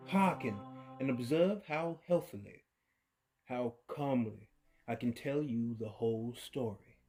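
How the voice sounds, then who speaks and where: A man reads a horror tale slowly and deliberately, in a dark, mellow voice. He swings from near-whispers to sudden loud outbursts, with an unhinged edge. Faint violin music plays behind him. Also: medium pitch, slightly rough. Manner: unhinged, with creepy laughs. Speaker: narrator. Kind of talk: dramatic horror story reading.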